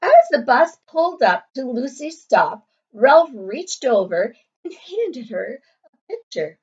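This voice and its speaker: A woman reading a children's story aloud in a deliberate way, checking in with her young listeners, on a slightly dull recording. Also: a little high, very animated, breathy edge. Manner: deliberate, checks in with listeners using tag questions. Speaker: storybook read-aloud narrator, educator.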